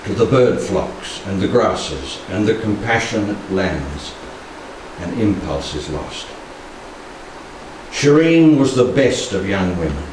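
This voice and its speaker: A man in his sixties with a fairly low voice reads verse aloud in a solemn, measured way. He leaves dramatic pauses between phrases. The sound is heavily reverberant and distant, with a faint layer of noise. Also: big pitch swings, darkish tone. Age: 60s. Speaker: poetry reader. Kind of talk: solemn poetry recitation. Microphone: handheld stage microphone.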